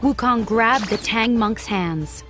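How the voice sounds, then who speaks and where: A woman narrates a scripted story for children. Her voice is a little high and she takes her time, reading slowly as music plays clearly behind her. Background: clear background music.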